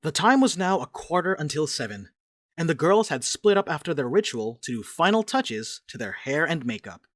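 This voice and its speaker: A man's voice: fairly high pitch, very animated, moderate pace, fairly bright tone, no echo, clean studio sound. A man narrating a dramatic reading as a scripted storyteller.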